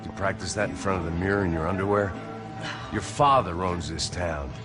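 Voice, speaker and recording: An actor playing a middle-aged, washed-up American ex-cop, delivering world-weary, deadpan noir narration and dialogue for a crime game. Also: male voice, sits low, brisk, natural voice. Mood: dry sarcasm. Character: jaded, sardonic washed-up ex-cop turned bodyguard. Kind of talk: cinematic noir voice-over and dialogue. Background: loud music.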